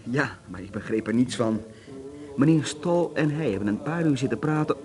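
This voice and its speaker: A man's voice: medium pitch, animated, moderate pace, darkish tone, natural voice. An actor playing a polite, deferential local man who serves island guests and gossips eagerly, in a Dutch radio play. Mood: obliging, gossipy.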